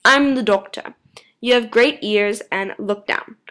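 A woman's voice: fairly high pitch, measured pace, fairly bright tone. Slender male alien hero, performed by a woman, urgent, defiant, emphatic, irritable, story reading. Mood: agitated, urgent. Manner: emphatic, insistent, exclaiming.

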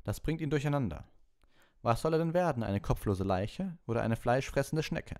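A man's voice: mid-range voice, expressive, normal speed, a little mellow, voice pushed up, lightly acted. A man voicing a cheerful, encouraging wizard teacher in an audiobook, instructing patiently and kindly. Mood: lively, amused. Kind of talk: animated audiobook character voice.

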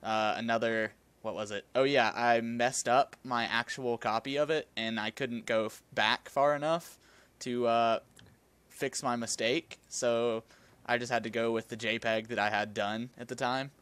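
A man's voice: mid-range voice, steady tone, unhurried, a bit bright, clear, resonant tone, no room sound. A young man from the American South with a slight Southern accent, hosting a casual podcast in a chatty, self-deprecating way. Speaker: podcast host.

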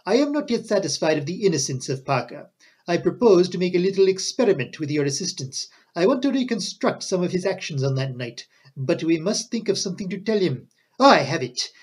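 A man reading an audiobook, voicing a dapper, excitable foreign detective with emphatic, courteous, quaint phrasing. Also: high voice, a little fast, husky, pitched above the performer's own voice, full character voice. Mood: courteous, scheming. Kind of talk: audiobook character dialogue.

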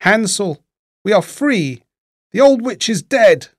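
A man's voice: medium pitch, unhurried, no room sound, clean studio sound, natural voice. A man voicing a poor little girl in a storybook, earnest and pleading. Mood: joyful urgency. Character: poor little girl, frightened but brave sister. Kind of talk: storybook character voice.

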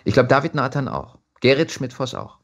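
A man chatting casually as an actor being interviewed, telling stories in an animated way. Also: medium pitch, a little fast.

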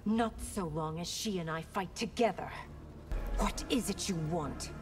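Woman as regal, defiant, low-voiced warrior. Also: measured pace, faint music behind, natural voice. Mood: stern defiance. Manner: stern, formal. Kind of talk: video game fighter acting.

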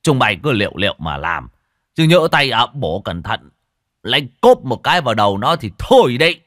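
A man voicing a bossy, quick-tempered older village shaman who barks orders, in a told folk story. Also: on the low side, big pitch swings, no room sound, clean studio sound, exaggerated voice. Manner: barking orders, loud and gruff.

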